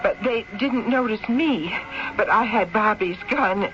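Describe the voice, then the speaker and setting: An actress playing an earnest, mysterious widow of the old West in a radio mystery drama, with a dramatic, halting delivery. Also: female voice, medium pitch, natural voice. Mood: sombre, guarded. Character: mysterious frontier widow, earnest, clinging to her diamonds. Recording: dull old radio recording with music.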